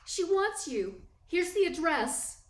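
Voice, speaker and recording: A woman's voice: very high voice, big pitch swings, takes it slowly, exaggerated voice. A woman playing an anxious, devoted secretary in a radio play, full of alarmed questions. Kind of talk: 1940s-style radio play acting. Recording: echoey room.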